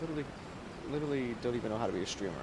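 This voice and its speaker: A man's voice: mid-range voice, rapid-fire, heavy background noise. A man chatting casually to livestream viewers in a rambling, slangy way. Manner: rambling, informal slangy talk. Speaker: game livestreamer. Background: background music.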